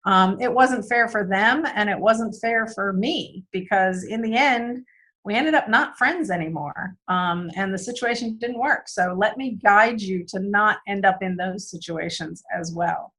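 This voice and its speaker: A woman guest who is losing her voice, answering interview questions earnestly with frequent "um" pauses. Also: mid-range voice, fairly bright tone. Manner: earnest, many "um" fillers and repeated words. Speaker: guest speaker on business systems.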